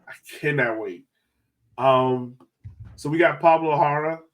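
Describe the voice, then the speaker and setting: A man's voice: a little high, measured pace. A man hosting a sports fan podcast, chatting informally and enthusiastically. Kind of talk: casual panel chat.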